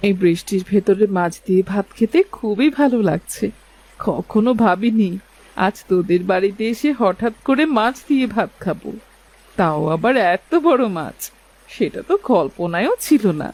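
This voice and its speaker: A woman voicing a grateful, affectionate middle-aged village mother in a cartoon, speaking gently. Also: medium pitch, lively intonation, moderate pace, natural voice, rough recording. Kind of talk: cartoon dialogue.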